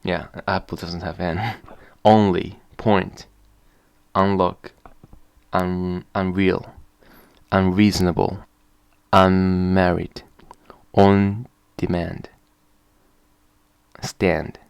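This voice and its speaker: Japanese-accented man, deep gravelly voice, slow hesitant explaining, dry close sound. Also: level tone, dry recording. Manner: hesitant, repeats and restarts, sounds out example words slowly. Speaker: vlogger. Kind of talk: casual spoken explanation to camera.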